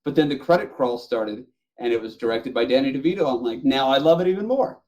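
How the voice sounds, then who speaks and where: A man's voice: medium pitch, fast, a little mellow. A middle-aged man talking casually and enthusiastically about films as a podcast panelist. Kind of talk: casual chat about movies.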